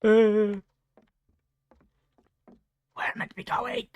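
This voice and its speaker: A woman plays through a game and talks to herself as she goes. Her medium-pitched voice is casual and reactive, slipping between muttered asides and exclamations, with some mild cursing at the game. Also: flat delivery, slow, a little mellow, clear, resonant tone, dry recording, highs cut off. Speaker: gamer narrating own playthrough. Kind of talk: casual gaming commentary, muttering to self.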